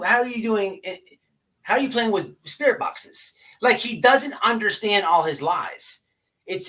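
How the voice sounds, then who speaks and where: A man's voice: high-pitched, sharp tone, slightly rough. A man hosting a casual livestream, ranting and chatting to viewers in profane slang, often stammering and repeating his words. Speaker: livestream host.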